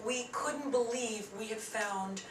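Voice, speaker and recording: A woman, a theater director, speaking excitedly and in quick bursts. Her voice is high. She is heard from far off in an echoey room with clear noise behind her. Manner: excited, quick, repeats herself. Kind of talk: casual interview remarks.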